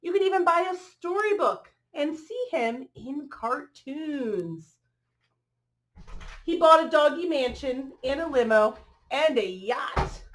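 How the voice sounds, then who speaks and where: A woman's voice: sits high, a little hiss or hum. A woman reading a children's picture book aloud expressively, adding chatty asides and drawing listeners in with questions and exclamations.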